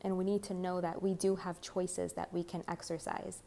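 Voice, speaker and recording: A young woman, a bit hoarse, giving a rambling spiritual tarot talk full of "like" and "you know". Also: fairly low pitch, little pitch movement, moderate pace, warm tone, slight background noise. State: slightly hoarse. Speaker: tarot reader. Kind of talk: channeled spiritual monologue.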